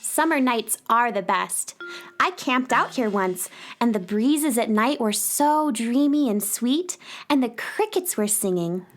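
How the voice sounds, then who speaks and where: Eager, high, bouncy young girl. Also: lively intonation, measured pace, soft background music. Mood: eager, friendly. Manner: chirpy, enthusiastic, childlike. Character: eager, friendly, polite young girl adventurer. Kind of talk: children's radio drama acting.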